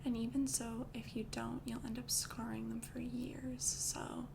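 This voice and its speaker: A young woman voicing a caring young vampire girlfriend, mostly whispering in a casual, rambling way, recorded close with loud hiss behind. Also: on the high side, flat delivery, measured pace, creaky. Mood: gentle whisper. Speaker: ASMR creator. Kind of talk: ASMR roleplay.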